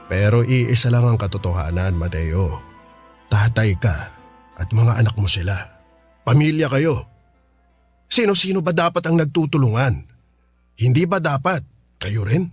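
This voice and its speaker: A man's voice: medium pitch, animated, a little slow, long pauses, husky, soft background music. A man playing a blunt, persuasive friend in a radio drama, arguing colloquially in a Filipino accent. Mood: earnest. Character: blunt, insistent male friend urging practical sense.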